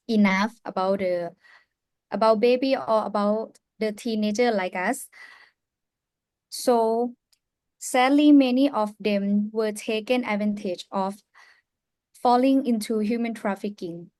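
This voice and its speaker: Young thai woman, slow, lots of uh's, dry close sound. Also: on the high side, darkish tone, no room sound. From Thailand. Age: young adult. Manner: earnest, halting, with many fillers. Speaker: youth ambassador. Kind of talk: personal story on a live panel.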